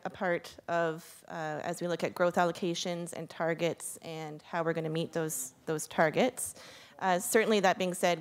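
A woman from city planning staff answering questions at a council meeting, cautious and full of fillers. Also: deep voice.

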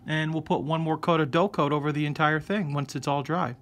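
A man hosting a casual scale-model painting tutorial, explaining each step in a relaxed way. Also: a little high, a little fast. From United States. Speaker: hobby shop host. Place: hobby workbench indoors.

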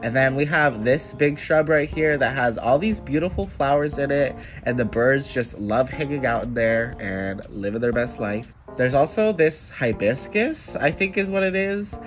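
A man's voice: medium pitch, animated, normal speed, hardly any pauses, faint music behind. A young man in his twenties, congested and nasal from a cold, giving a chatty, upbeat tour of garden plants. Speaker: plant vlogger. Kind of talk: casual plant tour voice-over. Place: indoor room with plants.

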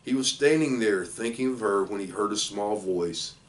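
An older man, around sixty, reading a children's storybook aloud in plain, measured short phrases. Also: fairly low pitch. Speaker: football coach reading a children's book aloud. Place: small indoor office. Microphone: camera a few feet away. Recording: distant microphone in a room.

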